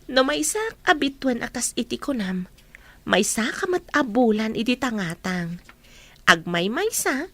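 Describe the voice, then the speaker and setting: A woman's voice: on the high side, very animated, a little slow, heavily acted. A woman playing an earnest, working-class young eldest sister in love in an Ilocano radio drama. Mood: playful.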